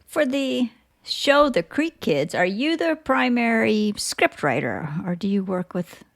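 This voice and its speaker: A woman hosting a casual podcast interview, choosing her words in a halting, careful way. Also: on the high side, very animated, gravelly.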